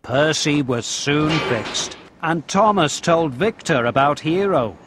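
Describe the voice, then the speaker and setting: Animated, slow-paced male children's storyteller. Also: a little high. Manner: expressive, measured storytelling for young children. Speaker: narrator of a children's video game. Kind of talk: scripted children's storybook narration with game prompts. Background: background music.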